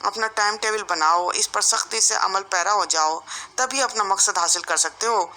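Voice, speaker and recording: A woman's voice: medium pitch, pushed and bright, slight background noise, rough recording. A woman voicing a diligent younger brother in a storybook tale, earnestly admonishing his sibling.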